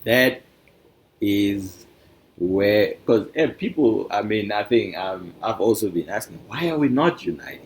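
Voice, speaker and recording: A man from Africa with a deep voice speaks informally as he explains his thinking. His delivery is halting and reflective, building each point through questions and imagined replies. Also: animated. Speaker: speaker presenting a discussion.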